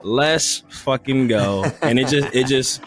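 A man's voice: mid-range voice, slow, over music. A man hosting a casual podcast chat, hyped and full of slang and swearing. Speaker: podcast host.

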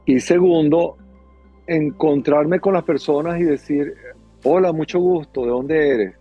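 A middle-aged man with a Venezuelan accent, telling stories as an interview guest in an animated, theatrical way and acting out bits of dialogue. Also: fairly high pitch, a little slow, dull audio, faint music behind. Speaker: guest, circus performer and dancer. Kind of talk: conversational storytelling in an interview.

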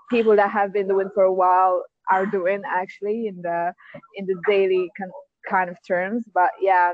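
A woman's voice: medium pitch, muffled recording. A young woman whose first language is Arabic, chatting on a call as a podcast listener, hesitant with many fillers and restarts. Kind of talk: casual call-in chat.

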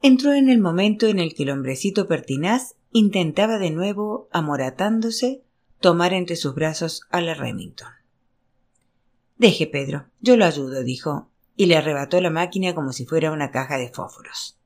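A narrator reading a literary novel aloud in an expressive storytelling style. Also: medium pitch, normal speed. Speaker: audiobook narrator.

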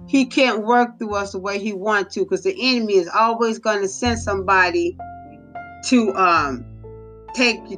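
A woman delivers an informal sermon for her devotional podcast, earnest and exhorting, leaning on repetition to drive points home. Her voice is bright and forceful, though a little hoarse, set at a middle pitch. A soft guitar plays faintly underneath. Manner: earnest, exhorting, repeats phrases for emphasis. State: slightly hoarse, recovering voice. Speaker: podcast host giving devotional teaching.

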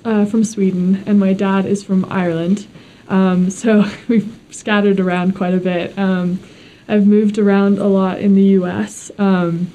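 A woman's voice: medium pitch, warm tone, poor audio. A teenage girl answering an interview question about her family, chatty and grateful with many fillers. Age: teens. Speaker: student interviewee.

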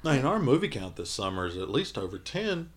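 A man's voice: medium pitch, big pitch swings, brisk. A man co-hosting a podcast in casual chat, joking in an easygoing way. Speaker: podcast co-host.

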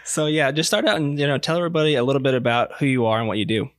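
A male podcast co-host speaks at a brisk, fast clip. His pitch is average for a man. He mostly drops in short, encouraging replies between the others. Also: no room sound, studio quality. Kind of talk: casual podcast chat.

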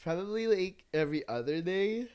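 A man's voice: high voice, expressive, moderate pace, darkish tone, smooth. A young man co-hosting a podcast, chatting casually with friends in a candid, slangy, campy way. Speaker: podcast co-host. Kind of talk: casual chat among friends.

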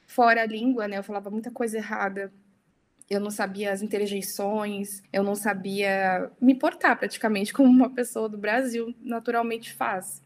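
A woman's voice: mid-range voice, level tone, normal speed, slight background noise. A young woman speaking as a podcast guest, talking casually and often tagging her sentences with little questions. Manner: chatty, many tag questions. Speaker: interview guest.

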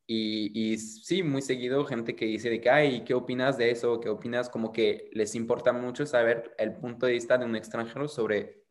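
A young French man speaking as an interview guest, rambling and hesitant with many restarts. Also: on the low side. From France. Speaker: interview guest, content creator. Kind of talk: casual conversational interview.

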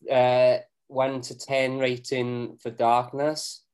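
A man's voice: mid-range voice, completely flat delivery, slow pace, darkish tone, smooth. Chatty, hesitant man tasting beer. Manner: chatty, hesitant, self-deprecating. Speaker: beer podcast co-host. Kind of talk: casual chat reviewing beer.

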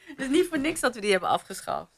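A woman's voice: sits high, sing-song, fast talker, slight background noise. A woman with a Netherlands Dutch accent, hosting a casual podcast chat in a teasing, probing way. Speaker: podcast host and journalist.

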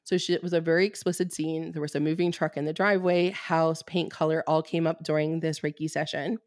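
A woman's voice: low-pitched, level tone, brisk, a little mellow, no room sound, studio quality. A woman who works as a Reiki fertility healer, a guest on a podcast interview. She explains her work steadily and bluntly, with frequent fillers.

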